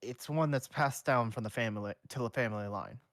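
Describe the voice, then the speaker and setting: A man's voice: mid-range voice, fairly quick, a bit bright, no room sound, clean studio sound, lightly acted. A man voicing a weary, earnest teenage boy who fights ghosts while reading a story aloud. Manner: reads dialogue in his own voice.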